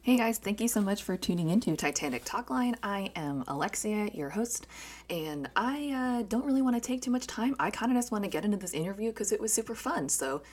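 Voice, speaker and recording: A woman in her thirties hosting a podcast and chatting casually, talking in quick bursts. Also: mid-range voice, lively intonation, husky. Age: 30s. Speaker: podcast host. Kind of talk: casual interview chat.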